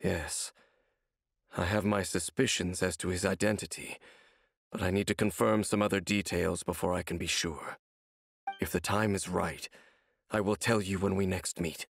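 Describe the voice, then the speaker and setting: A man playing a grave, secretive, world-weary wanderer in a video game, with a gravelly, husky voice and solemn, cryptic manner. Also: deep voice, steady tone, normal speed, no room sound, exaggerated voice. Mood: guarded, resolute.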